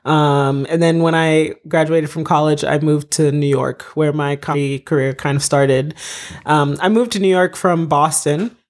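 Thirties woman, comedian guest, relaxed storyteller who laughs through her answers. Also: sits low, normal speed. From Haiti. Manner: chatty storytelling, laughs often. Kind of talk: casual podcast interview.

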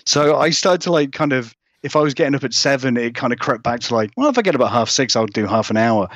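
A man's voice: mid-range voice, expressive, fast, a bit bright, dry recording, highs cut off. A British man chatting casually as an interview guest, rambling and acting out remarks as he goes. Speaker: guest horror writer.

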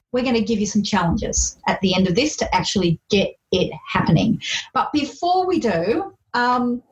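A woman hosts a podcast in a lively, enthusiastic way, laughing easily between questions. Her voice sits a little high for a woman. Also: muffled recording. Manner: enthusiastic, chatty, laughs often. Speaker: podcast host. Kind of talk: casual interview chat.

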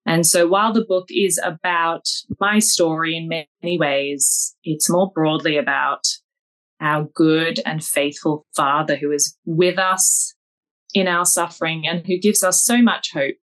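A woman with an Australian accent, a guest author giving calm, measured, personal answers in an interview. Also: sits low, low-quality recording.

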